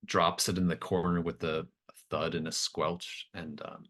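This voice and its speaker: A man with a low voice runs a tabletop horror roleplaying game. He improvises scene narration in halting, conversational pieces, thinking aloud as he goes. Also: little pitch movement, fairly bright tone. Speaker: game master running a tabletop horror RPG.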